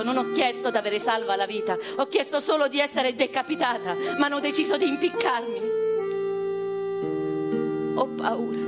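An actress playing a proud, unrepentant woman revolutionary awaiting execution in prison, in a measured, literary radio-theatre monologue with deliberate pauses. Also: a little high, lightly acted. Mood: grave, resolute.

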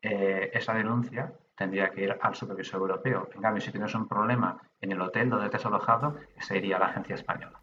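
Bright-voiced man explaining patiently. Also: fairly low pitch, steady tone, fast, few pauses, muffled recording, a little hiss or hum. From Basque Country, Spain. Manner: explanatory, with many restarts and hesitations. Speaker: guest, data protection official. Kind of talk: conversational podcast interview. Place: remote from his own room.

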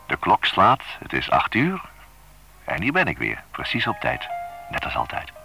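An actor playing a possessive, teasing lover who speaks in measured, intimate phrases in a radio drama. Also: male voice, low voice, wildly swooping pitch, vocal fry, bright, forceful tone, faint music behind, heavily acted, low-quality recording. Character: possessive, teasing adult male lover on an answering machine.

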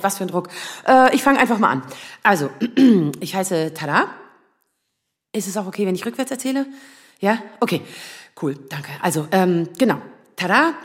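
An actress playing a nervous, self-doubting lawyer in her thirties in a naturalistic radio drama, speaking in a halting, rambling way. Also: mid-range voice, lightly acted. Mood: flustered, nervous. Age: 30s. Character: nervous, self-doubting 35-year-old asylum lawyer, single woman.

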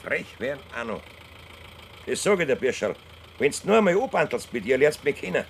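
Knarzigen, eifersüchtigen bayerischen Bauern. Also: male voice, mid-range voice, big pitch swings, moderate pace, creaky. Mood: threatening, jealous. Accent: Bavarian. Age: middle-aged. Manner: blustering, domineering. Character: gruff, jealous middle-aged farmer father, possessive and threatening. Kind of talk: German dialect radio drama acting. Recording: noisy, idling car engine behind. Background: idling car engine.

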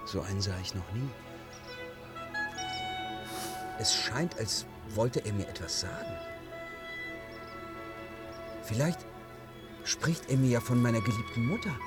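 A headstrong young hero, played by a man in a fantasy radio drama, over prominent music. His theatrical delivery is unhurried, with a pitch that moves widely. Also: lively intonation, natural voice. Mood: curious wonder. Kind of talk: fantasy radio play acting.

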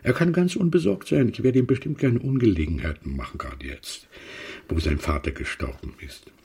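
An actor playing a sly, unctuous merchant and moneylender who feigns sympathy and insinuates in a radio drama. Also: male voice, lowish voice, brisk, vocal fry, darkish tone, slightly rough, full character voice. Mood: smooth, insinuating. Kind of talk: German radio drama acting.

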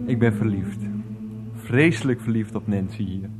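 A man's voice: on the low side, normal speed, music in the background, natural voice. A man playing a flustered, lovestruck husband in his thirties in a radio play, hesitant, apologetic and harried. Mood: sheepish, earnest. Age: 30s.

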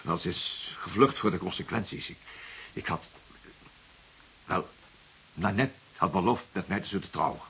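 A man's voice: low-pitched, animated, moderate pace, creaky, long pauses, sharp tone, husky, exaggerated voice. An actor playing a wealthy, vain 55-year-old widower in a radio drama, defensive and indignant, stammering and repeating his words. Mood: shaken, self-reproachful. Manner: stammering, repeating words, blustering when challenged. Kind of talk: Dutch radio drama dialogue.

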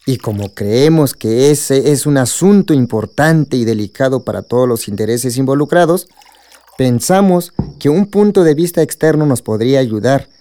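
An actor playing a hospitable, earnest middle-aged village leader with a Mexican accent, formal and courteous, in a radio drama. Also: male voice, mid-range voice, dark tone, no echo, close to an everyday voice.

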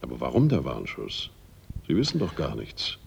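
A man's voice: very deep voice, wildly swooping pitch, moderate pace, vocal fry, darkish tone, a little hiss or hum, full character voice. A man playing a stern, skeptical police inspector in a German radio drama, questioning in a terse, official way. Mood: stern, probing.

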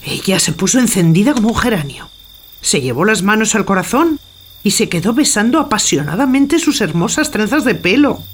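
A woman's voice: medium pitch, very animated, normal speed, slight background noise, natural voice. An actress playing a loyal, fretful housemaid, earnest and deferential to her master in a theatrical audio drama. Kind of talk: theatrical radio drama acting.

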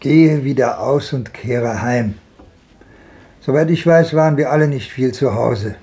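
An actor playing a world-weary old wanderer in a 1950s German radio drama, reminiscing in a lyrical, declamatory way. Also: male voice, mid-range voice, measured pace, dark tone, lightly acted. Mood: grave, reminiscing. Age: older. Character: world-weary old wanderer looking back on a hard life.

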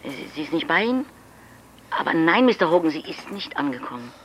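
A woman's voice: sits low, big pitch swings, brisk, muffled recording, slight background noise. An actress in a German radio drama playing a concerned, flustered woman who answers at a holiday camp. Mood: surprised concern.

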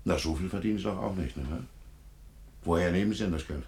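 A deep-voiced police chief inspector in a German radio drama. He is officious and dryly sardonic, phrasing his questions with condescending insinuation. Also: quick pace, a little mellow. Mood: stern, condescending. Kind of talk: German radio play acting.

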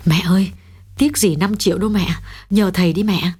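A man voicing a twenty-year-old daughter softly urging her mother in falsetto. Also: steady tone, moderate pace, husky. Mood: pleading.

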